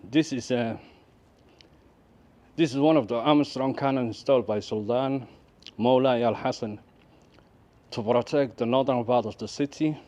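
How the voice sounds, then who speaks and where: A man's voice: mid-range voice, moderate pace, pauses often, a little mellow. A man from Birmingham, UK, chatting casually as a travel vlogger, hesitant and often repeating himself. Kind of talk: casual vlog chat and narration. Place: out and about in a city. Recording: busy street and cafe chatter behind.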